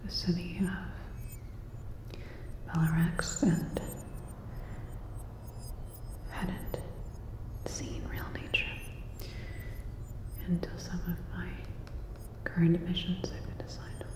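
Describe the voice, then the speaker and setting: A woman playing a calm, polite sci-fi robot repair technician in a soft, halting whisper, as an ASMR roleplay. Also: fairly low pitch. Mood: soft, reflective. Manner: slow, halting, gently attentive. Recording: echoey, long reverb.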